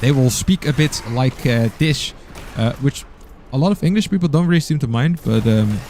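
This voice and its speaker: A man's voice: medium pitch, animated, moderate pace, a little mellow, slight background noise. A man with a Dutch accent giving casual gameplay commentary as a streamer, rambling and sometimes searching for words. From Netherlands. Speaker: game streamer.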